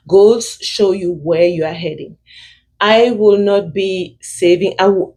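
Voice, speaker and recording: A young woman with a thick French-speaking accent, giving casual money advice to her viewers, pausing often with fillers and restarting her sentences. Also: lowish voice, dark tone, husky. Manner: hesitant, many fillers and restarts. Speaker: vlogger. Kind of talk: casual personal finance advice.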